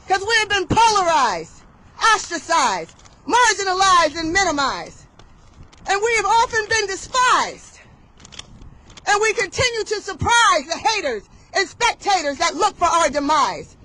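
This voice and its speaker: A woman's voice: very high-pitched, pushed and bright, a little hiss or hum, rough recording. A woman giving an outdoor motivational rally speech, leading call-and-response with rhythmic, emphatic, rhyming delivery. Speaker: rally speaker.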